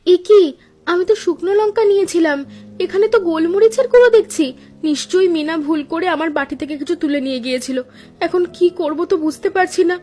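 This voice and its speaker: Cartoon role: a woman playing a brisk young daughter-in-law, high and quick, over soft music. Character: young daughter-in-law, elder sister-in-law, practical cook.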